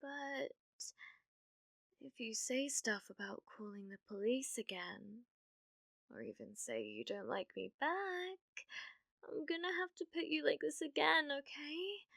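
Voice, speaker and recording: Woman acting a high-voiced British obsessive witch. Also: very animated, measured pace, a bit bright, no room sound, clean studio sound, exaggerated voice. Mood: coaxing, possessive. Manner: breathy cooing, often dropping into whispers. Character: obsessive, clumsy, lovestruck young witch, doting yet menacing. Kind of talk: ASMR roleplay.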